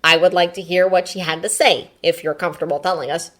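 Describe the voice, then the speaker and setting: A formal, caring teenage boy from an audiobook, read by a woman. The speech is precise and proper, set somewhat low for a female voice. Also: steady tone, fast talker, natural voice. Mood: concerned. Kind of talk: audiobook character voice.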